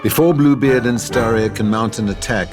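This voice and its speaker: A man's voice: medium pitch, moderate pace, over music. A man reading scripted narration and stage directions as the narrator of an audio drama.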